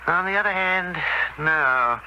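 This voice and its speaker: An actor playing a methodical, self-assured police inspector in a radio drama, with a deliberate, clipped official manner. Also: male voice, high-pitched, animated, dull audio. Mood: intent, examining. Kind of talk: 1970s radio drama acting. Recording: noisy old radio broadcast recording.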